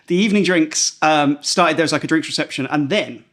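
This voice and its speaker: A man's voice: fairly high pitch, moderate pace, fairly bright tone. A man co-hosting a podcast in casual political chat, telling stories and acting out imagined quotes. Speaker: podcast co-host.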